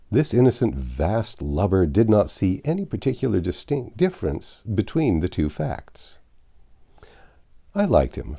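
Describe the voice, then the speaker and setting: A man narrates a literary story aloud in a mellow, fairly low voice with a gravelly creak. His delivery is highly animated, with deliberate phrasing and drawn-out emphasis. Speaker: audiobook narrator. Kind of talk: expressive literary reading aloud.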